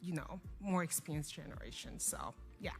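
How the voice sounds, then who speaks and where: A woman's voice: low-pitched, animated, moderate pace, breathy edge, faint music behind. A young woman from Cameroon whose first language is French, speaking earnestly in an interview as an organization founder, with frequent restarts. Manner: earnest, reflective, many restarts. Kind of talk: interview conversation.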